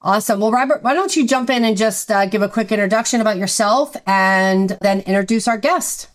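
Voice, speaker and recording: A woman's voice: medium pitch, fairly quick. A woman hosting a podcast, introducing her co-host and guest in an upbeat, scripted-sounding way.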